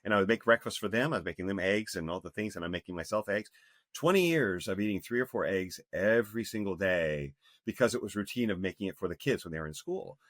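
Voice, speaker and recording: A man's voice: deep voice, animated, fast, a bit bright, no room sound, studio quality. A man in his fifties, a health coach speaking earnestly and emphatically as a podcast guest, with frequent restarts. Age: 50s. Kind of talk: podcast interview.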